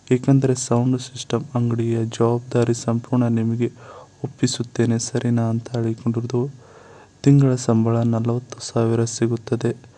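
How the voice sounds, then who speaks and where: A man's voice: mid-range voice, warm tone. A man narrating a scripted profile voice-over in a formulaic, repetitive announcer-like way. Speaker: channel host narrator.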